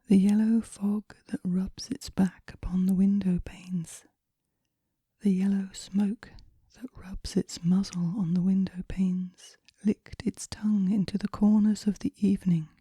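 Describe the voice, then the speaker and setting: A woman reads poetry for relaxation in a soft, breathy whisper, with a gentle, measured, hushed delivery in ASMR style. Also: medium pitch, no echo, studio quality. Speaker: poetry reader.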